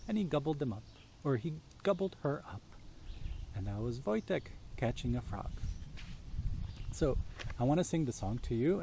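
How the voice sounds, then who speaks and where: A man narrates for children at an unhurried pace. His voice is soft-edged and mellow, and his manner is simple and inviting. He is outdoors, with faint natural ambience behind him. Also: medium pitch, slight background noise. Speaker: storyteller reading for children. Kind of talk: children's storytelling.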